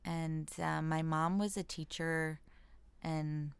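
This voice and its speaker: American woman, interview guest, low super clear voice, chatty and thinks out loud. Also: steady tone, slow, no room sound. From Washington state, United States. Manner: informal, self-deprecating, thinks aloud. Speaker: comedian and actress, interview guest. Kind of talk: casual chat.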